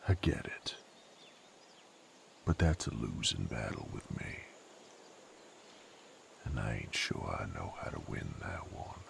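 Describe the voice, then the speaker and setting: A male actor playing a brooding, rough knight with a violent past in an audio roleplay, speaking wearily in folksy, rough diction. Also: darkish tone, slight background noise, exaggerated voice. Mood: weary, resigned. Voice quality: very low, gravelly. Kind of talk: dramatic character monologue, audio roleplay.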